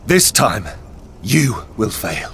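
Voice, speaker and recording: Solemn mythic oath keeper. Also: male voice, on the low side, sing-song, slow pace, fairly bright tone, big-room sound, slight background noise, exaggerated voice. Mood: resolute. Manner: grave, earnest, formal. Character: solemn, fateful male oath keeper, a resolute ally. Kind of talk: dramatic video game acting.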